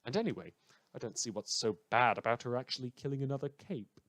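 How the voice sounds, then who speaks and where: A man's voice: medium pitch, fast talker, fairly bright tone, dry recording, close to an everyday voice. A man playing a chatty, morally muddled radio host in a fictional superhero world, with sarcastic asides and rhetorical questions. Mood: musing, dry. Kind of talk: fictional radio talk show monologue.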